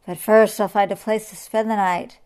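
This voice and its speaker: A woman's voice: medium pitch, level tone, normal speed, a little mellow, clear, resonant tone, natural voice. A woman voicing a big greedy male lion, grumpy and easily alarmed, roaring and growling in a children's story. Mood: gloating.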